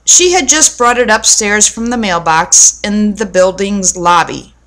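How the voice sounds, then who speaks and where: A woman reads a children's book aloud as a storyteller. Her pace is very slow and deliberate, with a mid-range pitch, and she explains as she goes for young listeners. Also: lively intonation, a bit bright.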